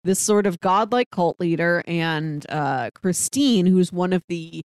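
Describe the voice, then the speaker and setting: A woman's voice: fairly low pitch, a little slow, no room sound. Woman podcaster, dry close sound. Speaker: podcast co-host. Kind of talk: casual TV discussion chat.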